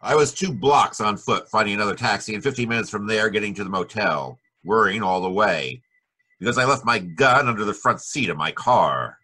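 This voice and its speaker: An actor playing a wisecracking hard-boiled private detective in 1940s radio style, with a rough-edged voice. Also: male voice, fairly low pitch, expressive, a little fast, bright, forceful tone, heavily acted. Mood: narrating, tense. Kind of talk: 1940s radio detective drama acting and narration.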